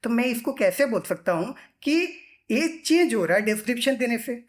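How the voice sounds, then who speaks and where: A man's voice: very high-pitched, expressive, moderate pace. A man teaching in an informal, explanatory style, repeating himself and often posing questions that he then answers.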